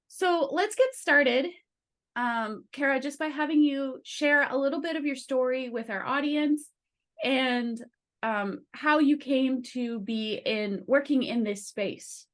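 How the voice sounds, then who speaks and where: A woman's voice: high voice, slow. Upbeat woman podcast host. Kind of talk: podcast interview.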